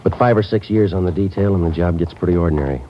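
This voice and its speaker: An actor playing a stoic police detective, narrating and talking in a clipped, matter-of-fact way, in a 1950s radio drama. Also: male voice, low voice, quick pace, slight background noise, natural voice. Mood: calm. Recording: dull old radio broadcast with music.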